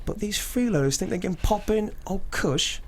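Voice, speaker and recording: An actor playing a livid, foul-mouthed young street rapper with slangy, blunt talk in a comedy table read. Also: male voice, sits high, expressive, moderate pace. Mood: indignant.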